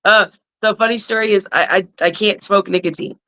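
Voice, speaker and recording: A middle-aged woman with a raspy voice, a wrestler guest chatting bluntly and crudely on a video call from her living room. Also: mid-range voice, steady tone, a little fast, fairly bright tone, low-quality recording. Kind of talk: casual podcast chat.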